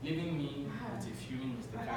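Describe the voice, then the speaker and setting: A man from Nigeria tells his story on a live stage, with a wry, animated delivery and a lively pitch. He sounds far from the mic in a very echoey theatre with a noisy hum behind. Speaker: solo performer narrating.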